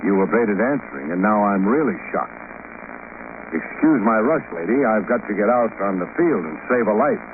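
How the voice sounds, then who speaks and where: An actor with an American accent playing a wry, hardboiled private detective in a sardonic, clipped old radio-drama style. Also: male voice, lowish voice, sing-song, normal speed. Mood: pointed. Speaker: lead actor, detective narrator. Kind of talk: 1940s-50s American radio detective acting. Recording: noisy, narrow-band old radio recording.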